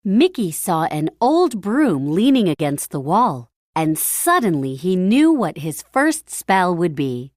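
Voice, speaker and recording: A woman narrating a children's storybook in an expressive read-aloud style, with deliberate, dramatic and emphatic phrasing. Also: lowish voice, dry recording, clean studio sound.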